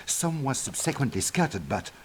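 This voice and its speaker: A man's voice: mid-range voice, sing-song, moderate pace, a little hiss or hum, close to an everyday voice. A man playing an earnest, courteous French archaeologist in a video game, speaking formally with a French accent. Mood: urgent, explaining. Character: earnest older male French archaeologist ally, anxious and courteous. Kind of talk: video game cutscene dialogue. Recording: prominent game music behind.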